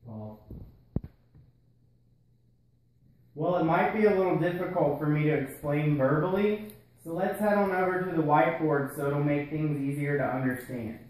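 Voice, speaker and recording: Man acting as health educator puppet, slightly high male voice, scripted lesson dialogue, explanatory, roomy echo. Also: a little fast, darkish tone, natural voice. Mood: instructive. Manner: explanatory, instructional. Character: patient, instructive male health-care puppet explaining medication.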